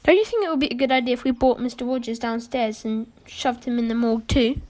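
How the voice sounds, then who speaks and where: A young woman playing a brisk, wry adventurer who takes charge in an amateur radio mystery, with clipped, old-fashioned phrasing. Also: a little high, darkish tone, natural voice. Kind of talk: amateur radio play acting.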